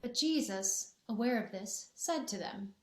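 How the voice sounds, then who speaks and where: A woman narrating a gospel passage in a church dramatic reading, steady and clear. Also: on the high side, measured pace. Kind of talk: scripture narration. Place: church sanctuary. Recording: echoey church room.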